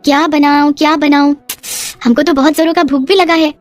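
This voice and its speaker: A woman voicing a cheerful, clueless young bride in a story, speaking slow, sing-song broken Hindi. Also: sits high, fairly even intonation, no echo, voice pushed up, close to an everyday voice. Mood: hungry, excited.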